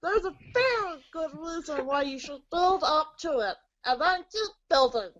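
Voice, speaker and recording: A very high, bright old grandmother ghost, fond and giggly. She speaks in theatrical, cryptic proclamations for an amateur radio play, on a slightly dull lo-fi recording. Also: expressive, normal speed. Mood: doting, cryptic. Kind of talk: amateur reader's theater acting.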